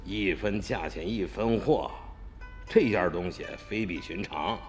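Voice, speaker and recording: A man's voice: low voice, measured pace, faint music behind. A man playing a sly tomb-raider boss. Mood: scheming. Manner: sly, haggling, confident. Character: scheming male tomb-raider gang boss, middle-aged. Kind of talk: radio drama acting.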